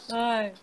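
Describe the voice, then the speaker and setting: Woman hosting a live chat, upbeat, kinda high voice, keeps inviting ppl in. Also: vocal fry, a little mellow, slightly rough. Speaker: host.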